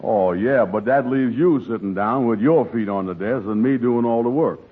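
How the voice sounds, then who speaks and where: An actor playing a lazy, slow-witted male pal with naive, drawling dialect speech, in 1940s radio comedy. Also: medium pitch, husky, lightly acted. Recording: tinny old radio broadcast with faint hiss.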